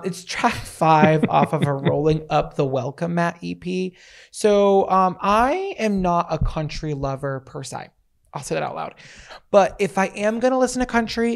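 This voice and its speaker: A man in his thirties with a raspy voice, co-hosting a podcast in a small home studio and chatting eagerly about music into a handheld mic. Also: fairly high pitch, normal speed. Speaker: podcast co-host. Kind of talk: casual chat. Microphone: handheld microphone.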